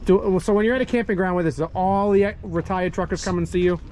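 A man's voice: high-pitched, brisk, darkish tone, slight background noise. A man interviewing a truck owner outdoors, enthusiastic and often exclaiming. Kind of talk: casual interview. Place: outdoors at a gravel truck show lot.

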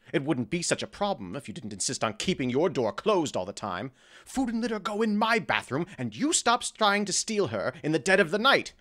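A man plays a theatrical fairy king in a modern audio drama. His pitch leaps about as he protests, huffy, defensive and quick to get flustered. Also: sharp tone. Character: petulant, theatrical fairy king living as a bickering husband.

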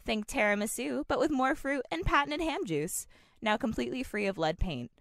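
High-voiced radio commercial announcer played by a woman. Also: animated, normal speed, fairly bright tone, dry recording. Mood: sincere selling. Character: earnest old-time radio sponsor announcer woman. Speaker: advertising announcer. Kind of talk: scripted parody radio commercial.

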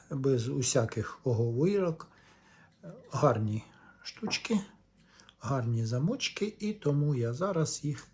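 A man giving a casual hands-on demonstration as a video host, speaking in short, halting, unhurried phrases. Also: mid-range voice, dark tone. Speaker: host of a reel-to-reel repair channel.

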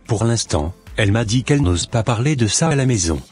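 A man voicing a calm, devout young suitor with a patient, measured manner in a scripted cartoon. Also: mid-range voice, slightly rough, no room sound, soft background music. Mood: calm, hopeful.

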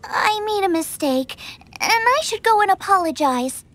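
A woman playing a cheerful, excitable teenage girl chef in a video game, eager and expressive. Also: extremely high pitch, moderate pace. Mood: earnest. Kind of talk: video game character acting.

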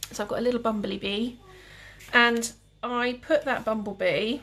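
Calm tutor, young woman, breathy, easygoing live demo talk. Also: a little high, moderate pace. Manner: calm, relaxed, chatty with viewers. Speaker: cake decorating tutor. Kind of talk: live demonstration with chat.

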